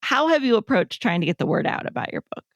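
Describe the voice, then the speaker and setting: A woman's voice: mid-range voice, wildly swooping pitch, fast, dry recording. A woman hosting an interview podcast, asking questions in a conversational way.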